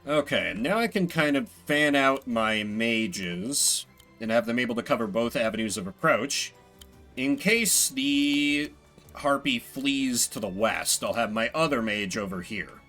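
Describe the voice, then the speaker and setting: A man's voice: mid-range voice, animated, measured pace, sharp tone, faint music behind. A man streaming a strategy game, musing aloud as he talks himself through his decisions. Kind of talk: live strategy game commentary, thinking aloud.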